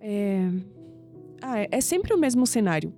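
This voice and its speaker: An actress playing a young, proud, skeptical woman in an audio drama, with a Brazilian accent and an expressive delivery that turns hesitant when flustered. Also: mid-range voice, a little slow, faint music behind. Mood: hesitant, troubled. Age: young adult.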